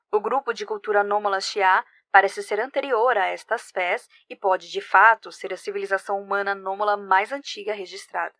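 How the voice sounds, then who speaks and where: A woman's voice: mid-range voice, fairly bright tone, no echo, lightly acted. Woman acting formal scholarly researcher, close dry sound. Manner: formal, analytical. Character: female senior history researcher, scholarly and measured. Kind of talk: scripted report narration.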